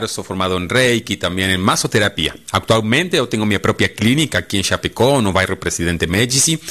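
A middle-aged Argentine man with a Spanish accent, a holistic therapist guest speaking deliberately in a radio studio interview. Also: lowish voice. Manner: deliberate, lively intonation with frequent "né?".

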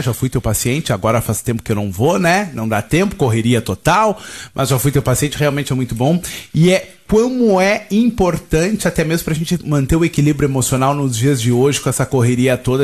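A Brazilian man hosting a radio interview, chatty and rambling, speaking from the studio. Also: medium pitch. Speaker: radio host.

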